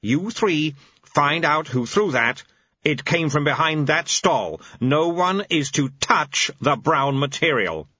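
Man doing a cold bossy leader, clipped orders, high tense voice. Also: animated, takes it slowly, slightly rough, no room sound, lo-fi sound, heavily acted. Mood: shrill. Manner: clipped, authoritarian, shrill when shouting. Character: cold, commanding leader of grey beings.

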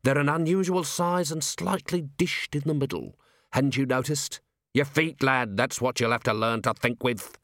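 A man voicing a gruff middle-aged male watch commander who talks in a brisk, sardonic way. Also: mid-range voice, steady tone, fairly bright tone, no echo, studio quality, lightly acted. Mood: wry.

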